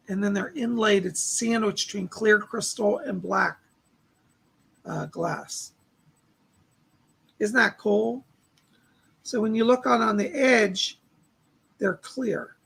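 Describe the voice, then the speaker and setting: A man with a raspy, tired voice, speaking to viewers as the host of a psychic medium live stream. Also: sits high, fairly bright tone. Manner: chatty, exclaiming, addresses viewers by name.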